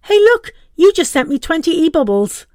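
A woman voicing a smug, excitable young schoolboy in a story she reads aloud. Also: a little high, moderate pace, no room sound, studio quality, voice pushed up, natural voice. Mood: cheeky. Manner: squealing, gleeful.

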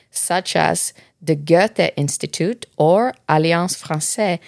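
A woman in her thirties from Finland, co-hosting a podcast and calmly explaining tips to parents. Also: fairly low pitch, vocal fry, no room sound, clean studio sound. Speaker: podcast co-host. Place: Montreal, Canada.

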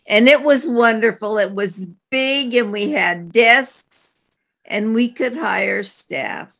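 Older american lady telling a story real slowly, amused, bright voice. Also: on the high side, gravelly. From United States. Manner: unhurried anecdotal telling with light laughs. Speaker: guest, co-founder of an institute. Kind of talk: storytelling in conversation.

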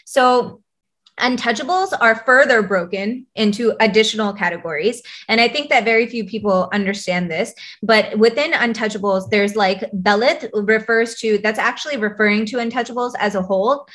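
A woman with an American English accent, explaining things in a relaxed conversational way, often restarting her sentences. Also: a little high, moderate pace. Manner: conversational, frequent fillers and restarts while explaining. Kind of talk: explanatory casual chat.

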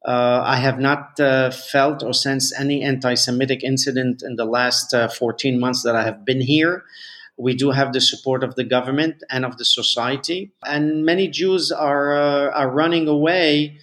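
A middle-aged man from Lebanon, a rabbi answering interview questions in a measured, halting way with many restarts. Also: medium pitch, fairly even intonation, fairly bright tone.

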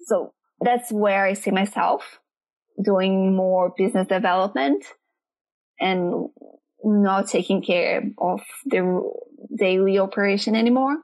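A Brazilian woman speaking English as a second language, answering interview questions in a relaxed chat and often pausing to search for words. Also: mid-range voice, rough recording. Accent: Brazilian Portuguese. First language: Portuguese.

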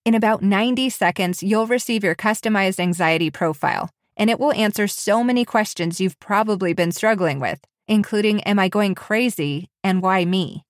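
A woman's voice: mid-range voice, normal speed, few pauses, dry recording, clean studio sound. Chatty woman, nasal from a cold. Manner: chatty, giggly, self-deprecating. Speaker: podcast co-host. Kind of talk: casual podcast chat.

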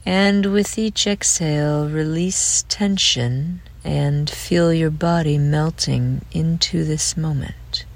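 A woman leading a guided relaxation meditation in a gentle, soothing way, with phrase ends trailing off into breath. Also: low voice, fairly even intonation, slow pace, few pauses.

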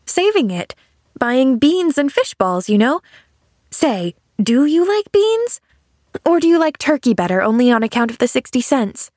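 A woman's voice: high-pitched, sing-song, measured pace, a little mellow, no room sound, studio quality, voice pushed up, exaggerated voice. A woman voicing a cheerful, naive little girl in an audiobook, eager and earnest, rambling on chattily. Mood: sympathetic, eager. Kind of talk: audiobook character dialogue.

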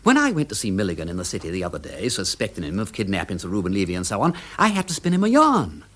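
A foppish upper-class English gentleman sleuth in a classic radio play. He rattles along in a husky voice, exclamatory and flippant, swinging up and down as he frets. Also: lowish voice, huge pitch swings, fast, heavily acted. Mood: agitated. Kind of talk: classic radio drama acting.

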